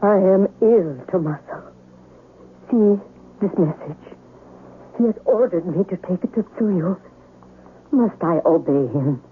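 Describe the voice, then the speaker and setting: An actress playing a dutiful, devout servant wife with a husky voice and deferential, formal speech in a 1970s radio drama. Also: female voice, fairly low pitch, animated, slow, long pauses, narrow, tinny audio, slight background noise. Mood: anxious. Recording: thin, band-limited old radio broadcast.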